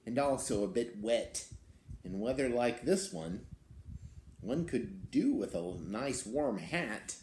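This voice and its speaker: A man's voice: medium pitch, animated, takes it slowly, darkish tone. A man voicing an indignant little wild beast from a picture book, telling its tale in a theatrical, aggrieved way. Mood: wistful. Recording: roomy echo, some background noise.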